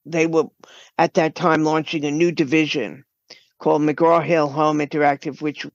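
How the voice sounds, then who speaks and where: A woman's voice: sits low, level tone, normal speed. An older woman tells stories conversationally as a podcast guest. The recording is slightly dull and lo-fi, like a remote connection, with very little room echo around her. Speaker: podcast guest, writer and producer. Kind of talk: conversational storytelling.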